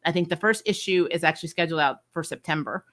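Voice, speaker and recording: A woman hosting a casual livestream review, chatting with viewers in an animated, emphatic way and repeating phrases for effect. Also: deep voice, fast. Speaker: host of a comic and TV review channel. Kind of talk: casual livestream chat, answering viewer questions.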